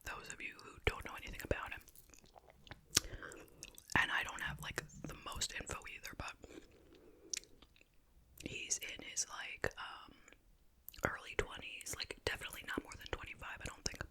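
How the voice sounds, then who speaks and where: An ASMR host speaking entirely in a breathy, unvoiced whisper, chatting casually and conversationally with little asides. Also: normal speed, pauses often, pushed and bright. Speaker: ASMR video creator and host. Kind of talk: whispered ASMR chit chat.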